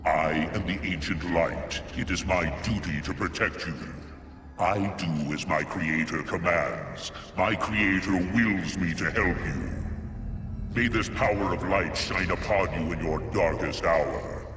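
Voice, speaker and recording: A man's voice: sits low, measured pace. A man voicing a solemn, stately ancient spirit of light, a devoted guardian in a fantasy game. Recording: echoing hall reverb, music behind.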